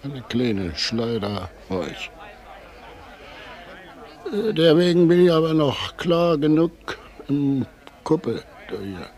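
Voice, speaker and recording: Halting, animated old weaver. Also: male voice, on the high side. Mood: wistful. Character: weary old weaver longing for freedom. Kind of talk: German radio drama acting.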